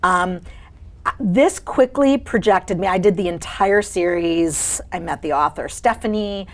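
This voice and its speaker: A woman, a voice actor and audiobook narrator, chatting in a TV interview with an expressive, feminine voice. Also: on the low side, measured pace. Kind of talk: casual interview chat.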